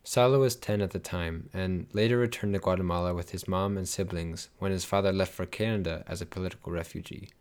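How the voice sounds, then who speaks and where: A man telling a life story in scripted narration, with brisk summaries. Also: low voice, steady tone.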